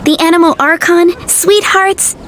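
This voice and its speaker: A woman playing a sweet, cheerful young flower seller in a video game, with a gentle, friendly way of talking. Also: extremely high pitch, fairly even intonation, a little slow, background noise. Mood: wistful, cheerful. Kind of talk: animated video game acting.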